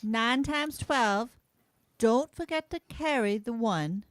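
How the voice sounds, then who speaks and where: A woman playing a kind, earnest and quietly defiant frontier schoolteacher in an amateur radio play, explaining things in a measured way. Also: on the high side, expressive, no echo. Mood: encouraging.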